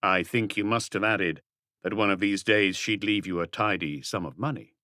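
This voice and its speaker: Man acting a police detective, fairly low pitch, composed, probing, curt persistent questions, audiobook dialogue, no room echo. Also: normal speed, bright, forceful tone.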